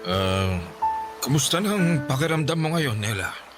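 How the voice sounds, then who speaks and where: A man playing a grave, calm older Filipino folk healer in a radio drama, speaking deliberately with thoughtful hums. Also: mid-range voice, over music, close to an everyday voice. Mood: probing, concerned. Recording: clearly noisy background ambience.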